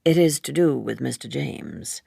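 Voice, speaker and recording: A woman voicing a suave, persuasive Edwardian gentleman who speaks deliberately and confidingly in a novel reading. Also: very deep voice, lively intonation, gravelly, husky, no room sound, clean studio sound, voice pushed down, full character voice. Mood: grave, confiding.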